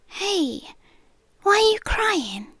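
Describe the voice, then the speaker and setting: A woman acts out a possessive sea spirit girlfriend with a high British voice. She is sweetly menacing and coaxing, often sinking into breathy whispers. The delivery is very unhurried, close and dry on the microphone, in the manner of ASMR roleplay. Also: sing-song, a bit bright, no room sound, full character voice. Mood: coaxing, threatening.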